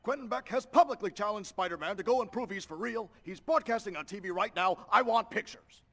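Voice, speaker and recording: An irascible middle-aged newspaper boss, high and gravelly, barking bossy orders. The delivery is cartoonishly forceful, for a video game. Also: male voice, brisk, full character voice. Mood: fuming.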